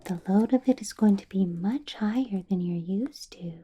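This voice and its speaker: A woman playing a doting, possessive vampire boss in an ASMR roleplay, coaxing and questioning in a breathy, soft whisper with lingering hums. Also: medium pitch, moderate pace, lightly acted. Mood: coaxing, reassuring. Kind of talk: ASMR roleplay, personal attention.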